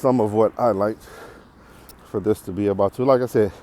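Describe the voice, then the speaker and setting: Casual American male podcast host, very low mellow voice, thinks aloud and digresses, clear outdoor background noise. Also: steady tone, moderate pace. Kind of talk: casual unscripted monologue while walking. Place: outdoors, walking on a path.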